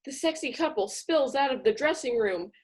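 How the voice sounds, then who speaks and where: A woman's voice: high-pitched, expressive, moderate pace, darkish tone, husky. A woman comedian reading scripted comedic narration with wry commentary.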